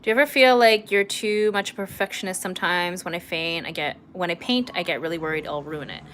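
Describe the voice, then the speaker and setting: A woman in her late twenties from Canada, chatting casually with viewers while painting on a livestream, rambling a little and filling pauses with ums. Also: on the low side, a bit bright, a little hiss or hum. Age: late 20s. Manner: chatty, rambling, laughs often, many ums. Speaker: art livestream host.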